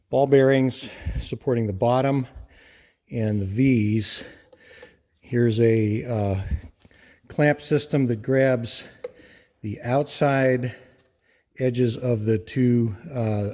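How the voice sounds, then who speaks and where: A man giving an informal, hands-on explanation of machinery in a halting, methodical way, as host of a workshop video. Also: mid-range voice, dark tone. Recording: reverberant workshop with clear background noise.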